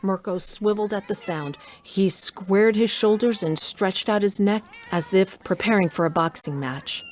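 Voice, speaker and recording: A woman's voice: medium pitch, normal speed, darkish tone. A woman reading scripted story narration for an audio drama. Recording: noisy ambient sound effects.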